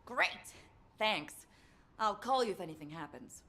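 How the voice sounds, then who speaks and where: An actress playing an eager, cheerful young female soldier with a bright, eager delivery. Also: medium pitch, lively intonation, unhurried, background noise.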